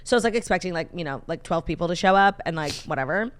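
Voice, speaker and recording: A woman co-hosting a casual morning talk show, excitable and gushing, with casual swearing. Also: on the low side, racing. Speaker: podcast co-host. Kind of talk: casual chat.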